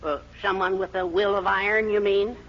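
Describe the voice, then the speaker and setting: An actor playing a fussy, henpecked middle-aged American husband and eager schemer, blustering and hesitant in 1940s radio comedy style. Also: male voice, high voice, moderate pace. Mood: flustered. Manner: excitable, blustering, hesitant stammers. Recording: dull, noisy 1940s radio recording.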